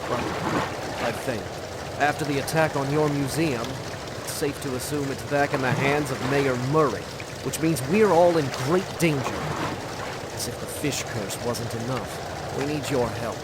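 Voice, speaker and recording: A man's voice: medium pitch, measured pace, very noisy, natural voice. A man playing an earnest, devoted protector on the run in a video game, speaking with grave, dramatic urgency. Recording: loud music over the voice.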